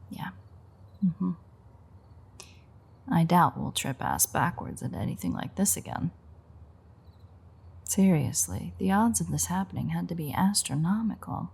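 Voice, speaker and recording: A woman playing a playful, teasing vampire with dark predatory confidence in an intimate, sardonic audio roleplay, her voice husky and breathy. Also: lowish voice, exaggerated voice. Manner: teasing, sardonic, swearing banter.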